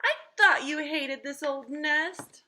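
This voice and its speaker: A woman's voice: high voice, slow, bright, forceful tone, voice pushed up, exaggerated voice. A woman voicing a worried, devoted male bird in a children's picture book read-aloud. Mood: astonished.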